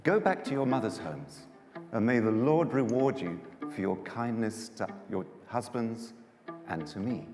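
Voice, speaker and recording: A man's voice: on the low side, lively intonation, a little slow, music in the background. In a long, echoing church reverb, a man acts out a sorrowful older widow in a Bible drama. The speech is pleading and emphatic, tender yet bitter, with wide swings in intonation. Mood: tender, urging.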